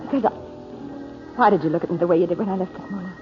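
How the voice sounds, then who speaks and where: A woman's voice: lowish voice, racing, lightly acted. An actress playing a timid, wistful young woman, hesitant, plain-spoken and eager to please, in a 1940s radio drama. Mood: shy, tentative. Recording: muffled 1940s radio broadcast with music.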